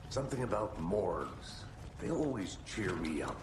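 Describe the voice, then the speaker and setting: Very deep, sardonic agent. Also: male voice, moderate pace. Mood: deadpan, dry. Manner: deadpan, wry. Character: gruff, sardonic, world-weary male federal agent. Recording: echoey room with clear background noise.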